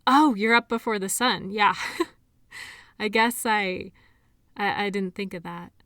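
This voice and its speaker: A woman's voice: mid-range voice, slow, sharp tone, no echo, studio quality. A woman playing a shy, awkward, kindly medical worker in an audio roleplay, talking in a hesitant, rambling, apologetic way. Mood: flustered, impressed. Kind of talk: audio roleplay acting, addressing the listener.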